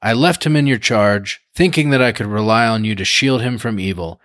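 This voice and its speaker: A man's voice: mid-range voice, expressive, normal speed, no echo, clean studio sound, pitched above the performer's own voice, natural voice. A man reading aloud, voicing a massive, imperious older lady of the aristocracy who speaks in a frosty, clipped, accusing way. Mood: indignant.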